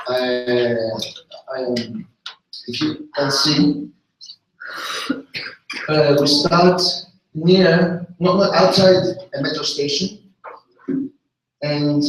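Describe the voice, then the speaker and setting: Man, halting speech with fillers, slightly high voice, very echoey room, heard from a distance. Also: slight background noise. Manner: halting, many fillers and restarts. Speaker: tour guide presenting a city tour. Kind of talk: unscripted presentation to a group.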